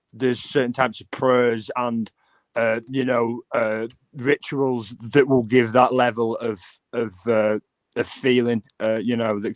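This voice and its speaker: A man's voice: mid-range voice, dry recording. A man with a Lancashire accent chatting casually on a video call panel, musing and repeating words as he thinks. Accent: Lancashire English. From England.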